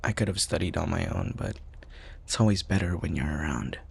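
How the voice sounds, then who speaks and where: A young male student played by a man speaks low and gravelly, as a fond, playful best friend in a boyfriend audio roleplay. His delivery is soft and intimate, with small hesitations. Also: fairly quick, full character voice. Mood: tender, sincere.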